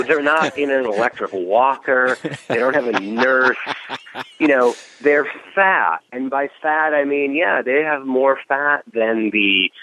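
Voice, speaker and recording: A man's voice: medium pitch, no echo. A man from the Midwest, a comedian telling stories on a radio talk show, acting out the people he quotes. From Midwest US, outside Chicago. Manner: animated storytelling, acts out quotes. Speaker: comedian guest. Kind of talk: casual radio chat. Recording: tinny phone-like sound.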